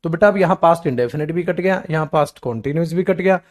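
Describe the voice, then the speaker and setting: Animated man teaching a class. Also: fast talker. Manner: animated, scolds and coaxes students affectionately, calls them beta; mimics whining students in a low weak voice. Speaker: English teacher for government exams. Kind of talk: classroom lecture, mixing English examples with Hindi explanation.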